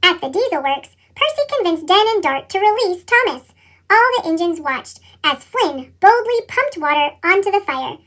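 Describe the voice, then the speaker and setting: A woman's voice: very high voice, very animated, normal speed, a bit bright. A woman reads a children's story expressively, her voice altered with a sped-up chipmunk effect. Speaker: storybook reader.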